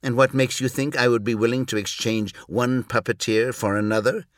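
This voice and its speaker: A man's voice: medium pitch, fairly even intonation, moderate pace, dry recording, studio quality, natural voice. A man voicing a weary, sardonic, long-lived human in an audiobook, with a bitter, sarcastic edge. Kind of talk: audiobook reading.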